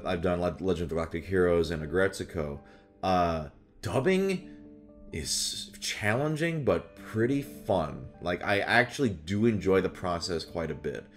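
Low-voiced young man chatting casually. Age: young adult. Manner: animated, slips into exaggerated character voices. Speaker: voice actor and video host. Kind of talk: casual chat to camera about voice roles. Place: small furnished room at home, indoors.

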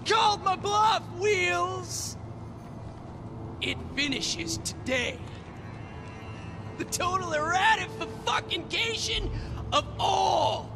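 A manic shrieking war boss. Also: male voice, very high voice, expressive, drawn-out words, gravelly, long pauses, over music, full character voice. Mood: taunting. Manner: unhinged, taunting shouts.

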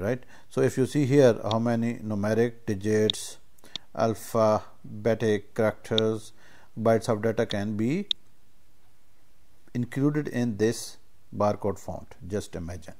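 A man giving an informal step-by-step software tutorial as instructor, speaking haltingly and often checking in with short tags. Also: lowish voice, creaky. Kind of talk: informal screen-share tutorial, explaining step by step.

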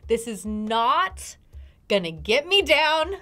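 A woman's voice: fairly high pitch, very animated, slow pace, bright, forceful tone. A middle-aged woman reading tarot in a conversational way, reassuring her listener and stressing her points. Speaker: tarot card reader. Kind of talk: conversational fortune-telling.

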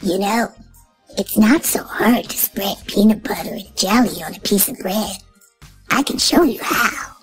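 A woman voicing a small helpful bug speaking in a hushed whisper in a storybook reading. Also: medium pitch, lively intonation, a little slow, husky, soft background music, voice pushed down, poor audio. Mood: secretive.

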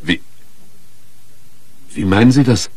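An actor playing an earnest, placating questioner in a German radio crime drama, with a deep, very raspy voice and a polite, measured manner. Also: male voice, expressive, darkish tone, dry recording, full character voice. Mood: disbelieving. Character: earnest, placating man questioning a distraught widow.

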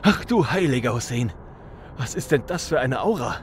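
A man's voice: medium pitch, expressive, moderate pace, close to an everyday voice. A man playing a wary, grumbling, sardonic mage shadowrunner in a fan audio drama. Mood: alarmed, awed. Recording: constant vehicle and street noise.

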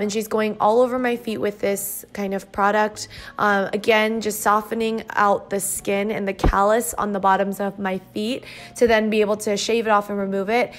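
A woman in her twenties chatting informally as a vlogger, casually narrating and peppering her sentences with "like". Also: mid-range voice. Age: 20s. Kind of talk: casual vlog chat and narration.

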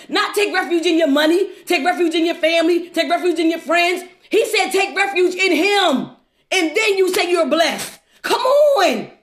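Very high-pitched, fervent woman preacher. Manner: fervent, exhorting, repetitive rhetorical questions. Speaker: preacher leading a livestream devotional. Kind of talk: exuberant devotional sermon. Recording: echoey, reverberant room.

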